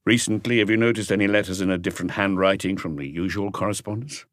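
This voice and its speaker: A man voicing a male chief inspector in an audiobook, terse and deliberate as he puts probing questions. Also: deep voice, dry recording, clean studio sound, lightly acted. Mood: measured, probing. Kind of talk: audiobook character dialogue.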